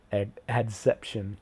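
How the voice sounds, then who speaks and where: A man's voice: lowish voice, mellow, slightly rough. A man with a head cold, sounding congested and sniffly, hosting a live stream in a laid-back, slangy way as he greets viewers by name.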